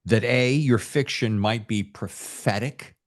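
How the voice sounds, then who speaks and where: A man's voice: mid-range voice, takes it slowly, a little hiss or hum. A man hosting a casual chat, emphatic and given to acting out imagined reactions. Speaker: podcast host.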